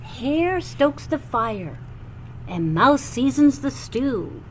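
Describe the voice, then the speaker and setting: A woman reading a children's picture book aloud with animated, sing-song expression and drawn-out phrases. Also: high voice, slight background noise, rough recording. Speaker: storybook reader.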